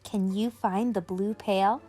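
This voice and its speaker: A woman's voice: medium pitch, fairly even intonation, slow, mellow, faint music behind. A woman hosting a children's read-aloud, speaking expressively and directly to young listeners.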